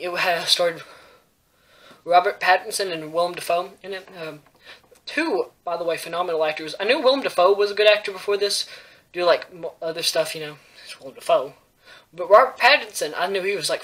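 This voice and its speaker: Bright-voiced young man, rambling reviewer. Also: sits high, rough recording. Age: young adult. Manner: halting, rambling, self-deprecating. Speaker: host of a movie review show. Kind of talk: casual movie review to camera. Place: small bedroom, indoors.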